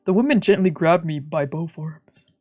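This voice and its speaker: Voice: mid-range voice, lively intonation, normal speed, a little mellow. A streamer reading visual novel narration aloud, with casual asides, while music plays behind. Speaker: streamer, let's player.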